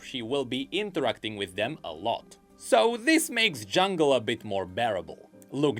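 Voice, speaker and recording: Animated male lore commentator. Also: fairly high pitch. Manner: chatty, speculative. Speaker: video game lore commentator.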